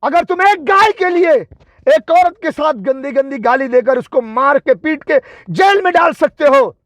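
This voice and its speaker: A man's voice: extremely high pitch, animated, a little slow, a bit bright, dry recording. A man delivering an angry, confrontational political and religious tirade, taunting with rhetorical questions and emphatic repetition.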